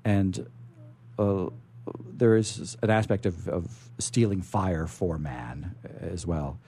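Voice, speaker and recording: A man hosting a radio show, chatting and reading aloud in a rambling, halting way, often repeating words. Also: deep voice, warm tone, breathy edge. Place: radio studio. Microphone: studio microphone.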